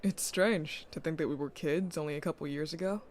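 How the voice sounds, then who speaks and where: A man's voice: on the high side, fairly quick, a little hiss or hum, natural voice. A man playing a loyal, teasing young nobleman in an audio drama, eager and playful in manner. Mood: sincere, loyal.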